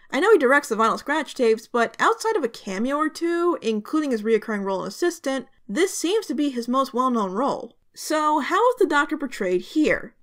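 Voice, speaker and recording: A woman's voice: sits high, fairly bright tone. A woman hosting a review channel, giving scripted narration in a conversational, enthusiastic fan's manner.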